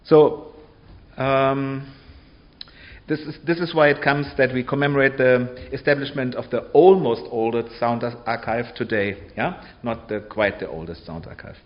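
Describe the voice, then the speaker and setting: Male academic speaker, medium pitch, halting informal talk delivery, somewhat muffled audio. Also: fairly even intonation. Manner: halting, with frequent pauses and check-in tags. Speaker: lecturer on sound archive history.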